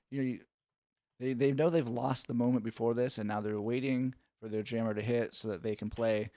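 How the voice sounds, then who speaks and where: A man's voice: mid-range voice, darkish tone, no room sound, studio quality. A man giving analytical play-by-play sports commentary in an instructive, explanatory way.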